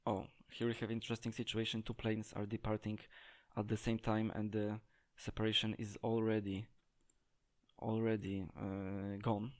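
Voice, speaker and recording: Low, flat-voiced man explaining haltingly. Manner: hesitant, halting, searching for words. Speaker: game tutorial presenter. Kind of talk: unscripted gameplay tutorial commentary.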